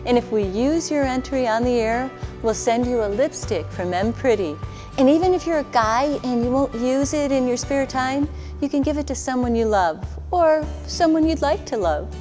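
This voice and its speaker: A woman's voice: sits high, lively intonation, moderate pace. A woman hosting a talk show, effusive as she introduces and questions her guest. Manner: warm, effusive. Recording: echoey studio with faint music.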